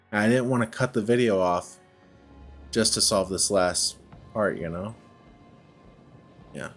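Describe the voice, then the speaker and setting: A man's voice: low-pitched, fairly bright tone. A man giving casual gameplay commentary, thinking aloud in a hesitant, self-questioning way as he works through a puzzle game. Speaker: video game playthrough host.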